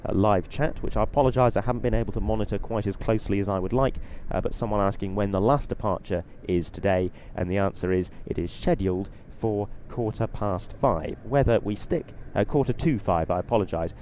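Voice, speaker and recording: Slightly husky, low-toned male live airshow commentator. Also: brisk, few pauses, darkish tone, slight background noise. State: a little short on sleep, slightly husky. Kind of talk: live broadcast commentary, explanatory and ad-libbed.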